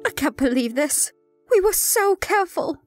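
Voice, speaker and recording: A woman's voice: very high-pitched, lively intonation, moderate pace, soft background music. A woman playing an earnest, urgent good fairy in a fairy-tale audio drama, speaking emotively. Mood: upset, urgent.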